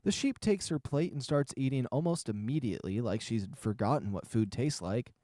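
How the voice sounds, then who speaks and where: A man's voice: mid-range voice, expressive, normal speed, dark tone, no room sound, clean studio sound. A man reading scripted first-person narration from a visual novel.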